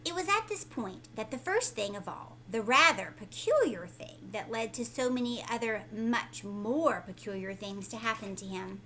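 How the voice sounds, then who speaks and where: A woman's voice: fairly high pitch, lively intonation, normal speed, few pauses, sharp tone, a little hiss or hum, rough recording. A woman teacher reading a children's story aloud, speaking expressively and emphatically, with explanatory asides for young listeners.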